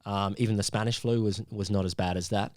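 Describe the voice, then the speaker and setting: Dry, low-voiced man explaining science. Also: steady tone, brisk, no room sound. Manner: hesitant, lots of ums and restarts, laughs easily. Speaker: podcast co-host presenting a science topic. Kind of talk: casual science podcast chat.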